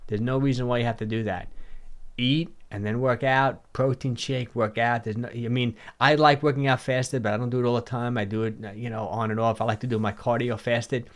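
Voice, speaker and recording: A middle-aged man hosting a livestream, a little hoarse from a cold, answering viewer questions in a rambling, casual way and often repeating himself. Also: mid-range voice, fairly even intonation, a little fast. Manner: rambling, repeats words, restarts sentences.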